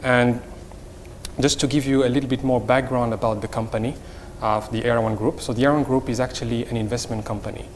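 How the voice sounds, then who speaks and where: A man's voice: medium pitch, fairly even intonation, quick pace, long reverb tail. A middle-aged man with a Moroccan accent gives semi-formal interview answers, repeating words as he explains. His intonation stays fairly level, and his voice rings in a large room with faint background noise. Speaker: hotel company executive, interview guest.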